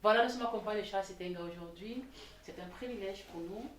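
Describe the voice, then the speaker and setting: A woman hosting a TV interview, lively and quick to laugh. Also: medium pitch. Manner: warm, lively, laughs easily. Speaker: TV host. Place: TV studio set. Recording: roomy, distant mic, faint noise.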